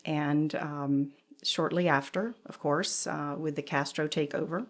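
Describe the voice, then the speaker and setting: A woman around seventy, a furniture designer, recalling her life in a reflective, measured conversational way. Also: sits low, steady tone. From Cuba, raised in Georgia. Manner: reflective storyteller, measured with small pauses. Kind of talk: conversational interview.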